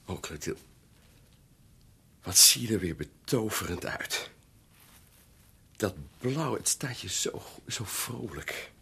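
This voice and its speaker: A smitten young gentleman in a radio drama, awkward and earnest. His lowish voice is very breathy and rough, swinging in pitch as he stammers. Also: animated, exaggerated voice. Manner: stammering, halting. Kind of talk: Dutch radio drama acting.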